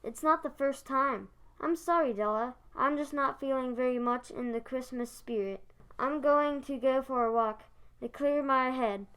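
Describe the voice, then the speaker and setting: A proud young husband worn down by money worries, acted by a child of about ten. The voice is high, smooth and clearly a child's, delivering lines for a school radio play. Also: normal speed, a bit bright, rough recording. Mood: frustrated. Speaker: fourth grade student actor.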